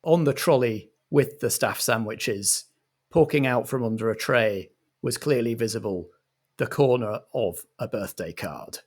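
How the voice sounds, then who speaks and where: A man narrating scenes as the director of a comedic audio roleplay, in a measured storytelling style. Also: medium pitch, a little mellow, breathy edge.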